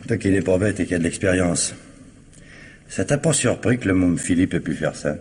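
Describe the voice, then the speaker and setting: Low, deliberate police investigator. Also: male voice, expressive, darkish tone, a little hiss or hum. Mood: probing. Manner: deliberate reasoning, blunt. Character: methodical, doubting male police investigator, firm. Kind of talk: French 1950s radio crime drama acting.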